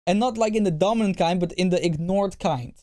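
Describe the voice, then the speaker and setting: A male streamer reacting to a clip with a dark, mellow tone and blunt, swear-heavy talk. He repeats himself, and his voice sounds worn out. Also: high voice, brisk. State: losing his voice. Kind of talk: casual reaction commentary.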